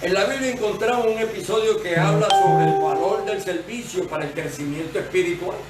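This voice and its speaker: A man with a Puerto Rican accent preaching a sermon as associate pastor, in a measured, explanatory way. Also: high voice, loud music behind the voice. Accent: Puerto Rican Spanish. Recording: roomy, distant church recording with faint noise.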